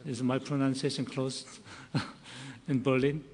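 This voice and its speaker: A man chairing a conference session, introducing speakers formally in a halting way, searching for his words. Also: mid-range voice, level tone, a little mellow. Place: large conference hall. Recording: echoey hall with long reverb.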